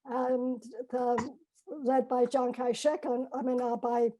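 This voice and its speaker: A woman's voice: a little high, little pitch movement, slow pace. An older woman, a professor, telling her life story in a reflective talk on a video call, with frequent fillers and restarts. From China. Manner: gentle, many fillers and restarts. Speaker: professor giving a personal talk. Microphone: video call mic.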